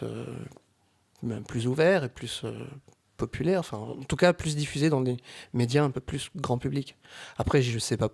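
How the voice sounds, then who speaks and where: Male podcast guest, mid-range voice, conversational and halting, repeats himself while working out his point. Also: medium pitch, vocal fry, darkish tone. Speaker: guest, amateur audio fiction creator. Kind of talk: casual podcast discussion.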